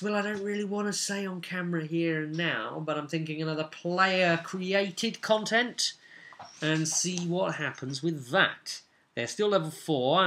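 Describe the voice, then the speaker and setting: Bright-voiced man recapping a tabletop game. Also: high voice. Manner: animated storyteller, repeats words for emphasis. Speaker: game master and vidcast host. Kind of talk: casual recap of a tabletop role-play session.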